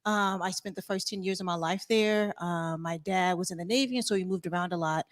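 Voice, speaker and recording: Soft-spoken woman telling her story. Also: on the low side, brisk. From Arkansas, USA. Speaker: guest, community advocate. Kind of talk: casual interview talk.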